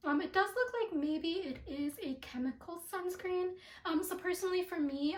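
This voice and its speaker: A young woman with a voice raspy from allergies, chatting through a beauty product review with lots of ums and likes. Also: high-pitched. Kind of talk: casual product review vlog. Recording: echoey room.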